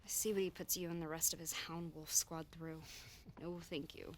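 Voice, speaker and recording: A woman's voice: sits low, little pitch movement, moderate pace, close to an everyday voice. Actress as a wary teen girl with strange powers, sardonic and self-mocking, faint game hiss behind. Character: teenage girl with monstrous powers, bitter and guarded.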